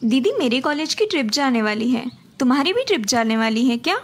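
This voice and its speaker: A woman voicing a young first-year college student in a cartoon story, a younger sister who is quick and defiant with her sibling. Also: sits high, very animated, heavily acted. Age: young adult.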